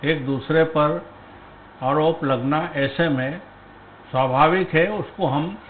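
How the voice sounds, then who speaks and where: Measured male commentator on a tinny line. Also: on the high side, a little hiss or hum. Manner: measured, halting phrases, rhetorical questions. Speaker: panel guest commentator. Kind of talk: political commentary on a TV debate.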